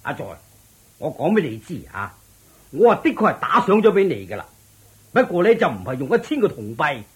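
Husky, swooping, smug lord. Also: male voice, on the high side, big pitch swings, heavily acted. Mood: feigned patience. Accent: Hong Kong Cantonese. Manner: theatrical, drawn-out, condescending. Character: smug, tricky, miserly male lord. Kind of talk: radio drama acting.

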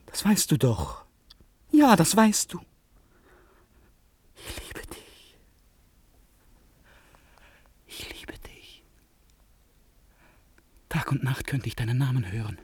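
A man's voice: mid-range voice, darkish tone, husky, exaggerated voice. A man in a German radio play acting a tormented, lovesick eccentric with an intense, erratic, theatrical manner. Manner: theatrical, erratic, intense, swinging between outbursts and whispers. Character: tormented, eccentric, obsessively lovesick male stranger.

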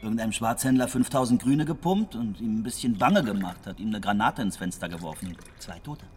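An actor playing a chummy, boisterous kiosk keeper and fixer who likes a drink, in a German radio drama. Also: male voice, on the low side, expressive, a little fast, a little mellow, a little hiss or hum, natural voice. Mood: confidential. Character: jovial hard-drinking kiosk keeper, fixer old schoolmate.

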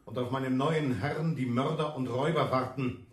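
An actor in a German radio play voicing a stern, merciless preacher who denounces rebels in harsh declamation. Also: male voice, medium pitch, fairly even intonation, normal speed, natural voice. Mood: grim, distrustful. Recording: echoey room.

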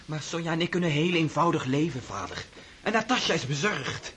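Actor as a defiant young son, radio play, fast talk, faint room ambience. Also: male voice, fairly high pitch, sing-song, a bit bright, slight background noise. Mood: earnest. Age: young adult. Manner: earnest and pleading. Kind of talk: radio drama acting.

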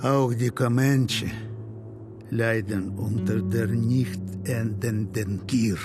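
An actor playing a grave, commanding Comanche chief in a German radio drama, speaking ceremonially with weighty pauses. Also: male voice, on the low side, steady tone. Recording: loud music behind the voice.

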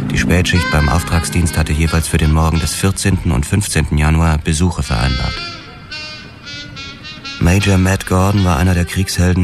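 Very deep-voiced police detective from a radio crime drama. He is dogged, sober and matter-of-fact, wasting no words, with music clearly behind him. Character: hard-boiled male police detective narrating his case, dogged and sober. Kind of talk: German radio drama, first-person crime narration.

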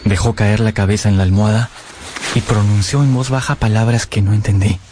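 An actor playing an earnest, lovestruck young man who narrates his past in a measured, literary radio drama style. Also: lowish voice, steady tone, noisy background, close to an everyday voice. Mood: solemn narrating. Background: music.

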